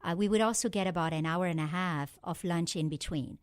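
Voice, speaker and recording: Soft-spoken woman guest from Pakistan. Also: on the low side. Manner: measured, many uh fillers, storytelling. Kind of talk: interview answers.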